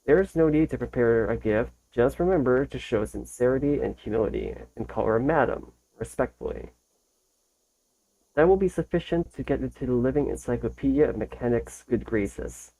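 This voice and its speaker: A man hosting a casual reaction video, reading text aloud a little haltingly and going back over phrases to correct himself. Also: medium pitch, animated, dark tone, low-quality recording.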